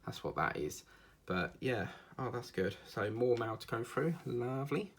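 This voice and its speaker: A man's voice: medium pitch, animated, measured pace, husky. A man with an Essex accent, chatting casually and rambling through his day while he works on a craft video for his channel. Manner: rambling and chatty, with frequent fillers. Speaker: diamond painting vlogger.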